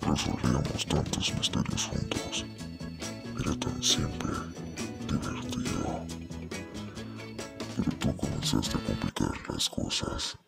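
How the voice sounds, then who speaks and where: A man voicing a monstrous talking great dane in a horror cartoon fan dub, possessive, sorrowful and menacing, with a guttural rasp. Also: extremely low pitch, expressive, over loud music, heavily acted. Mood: brooding, wounded. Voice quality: guttural, breathy growling rasp. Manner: slow, brooding, menacing delivery.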